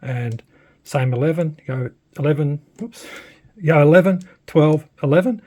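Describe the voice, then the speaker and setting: A man with a rough, husky voice talks through a piece of equipment as he demonstrates it. His delivery is informal and understated, feeling its way from one point to the next without any script. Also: a little high, moderate pace, mellow. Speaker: presenter demonstrating a modified radio. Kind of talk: casual unscripted technical demonstration.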